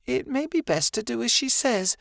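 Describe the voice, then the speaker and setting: A man voicing a soft-spoken young man who mumbles soothingly. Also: extremely high pitch, big pitch swings, moderate pace, creaky, dry recording, clean studio sound, pitched above the performer's own voice, exaggerated voice.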